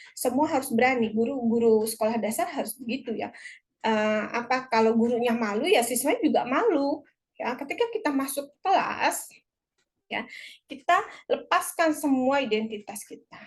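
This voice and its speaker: A woman with an Indonesian accent giving an explanatory lecture in a conversational, encouraging teacher's manner, slipping into short example quotes. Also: on the high side. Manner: didactic, encouraging, conversational, often tagging points with "ya". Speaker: lecturer in language teacher education.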